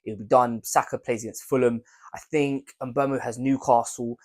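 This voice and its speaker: A young man with a croaky voice from a cold, chatting casually to his viewers about fantasy football picks and rambling through his reasoning. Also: medium pitch, fairly even intonation, normal speed, darkish tone. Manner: rambling, repeats words, hedges with "I think". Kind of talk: casual video commentary.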